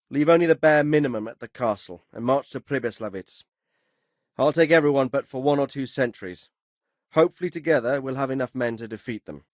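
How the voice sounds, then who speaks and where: A man acts out a middle-aged lord from a medieval video game, stern and authoritative. His voice sits at an average male pitch and his delivery is formal, measured and drily sardonic. The recording is close and dry, free of room sound. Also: dry recording, lightly acted. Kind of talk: video game dialogue acting.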